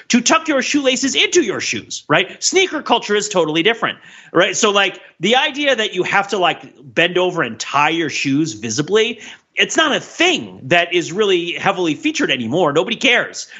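A man with a husky voice giving rambling conversational commentary as a podcast host, often checking in with "right?". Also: sits high, bright, forceful tone. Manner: rambling, tags sentences with "right?". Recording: dull, muffled lo-fi sound.

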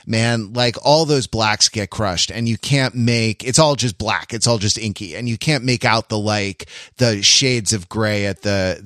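A man co-hosting a casual film discussion, talking in a halting, digressive way and often interrupting himself. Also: medium pitch, fairly bright tone, no echo, clean studio sound. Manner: halting, digressive, self-interrupting, uses many hedging asides. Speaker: podcast co-host.

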